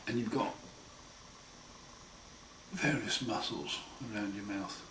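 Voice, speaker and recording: A man with a croaky, hoarse voice after throat surgery, talking slowly and wryly in a casual home video diary. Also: fairly low pitch. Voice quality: hoarse, partly breathy. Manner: slow, wry, plain-spoken, often pausing. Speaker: patient sharing his recovery. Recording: roomy echo, far mic, noisy.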